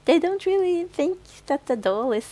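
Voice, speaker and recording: A woman's voice: very high voice, animated, normal speed, mellow. A woman co-hosting a podcast in casual, giggly conversation. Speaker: podcast co-host. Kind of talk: casual chat.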